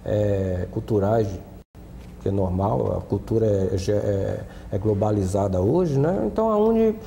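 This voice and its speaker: A man's voice: on the low side, expressive, a little slow, vocal fry, dark tone, in a large hall, a little hiss or hum. A middle-aged Brazilian man from Salvador, a TV studio guest, talking earnestly with frequent restarts. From Brazil, born in Salvador. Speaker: studio guest, former student union adviser. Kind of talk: TV interview talk.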